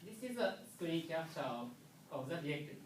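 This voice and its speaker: A man from Japan with a Japanese accent, a non-native English speaker giving a technical conference presentation with halting, pause-filled phrasing. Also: a little high, very animated. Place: lecture room. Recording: echoey room, distant mic, faint noise.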